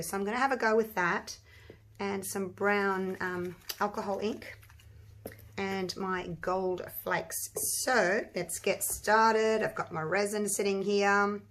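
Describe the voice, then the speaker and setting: Woman, Australian accent, croaky husky voice, chatty rambling craft tutorial, mid pitch. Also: fairly even intonation, unhurried, a bit bright. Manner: chatty, rambling, talks through each step. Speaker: resin craft channel host. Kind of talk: casual craft tutorial talk.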